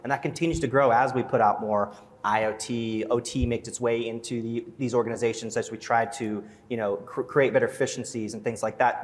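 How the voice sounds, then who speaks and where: A man, a security executive on a conference panel, speaking in quick bursts with frequent restarts. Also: medium pitch. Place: conference stage. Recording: reverberant conference hall.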